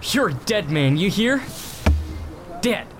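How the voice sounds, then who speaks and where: A man voicing a young, hogtied outlaw who snarls and shouts threats. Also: high voice, very animated, takes it slowly, voice pushed up, heavily acted. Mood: furious. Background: music.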